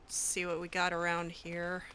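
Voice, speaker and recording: A woman's voice: on the low side, completely flat delivery, normal speed, sharp tone, slight background noise. A woman hosting a role-play gaming video, commenting casually in character with an exasperated, sardonic manner.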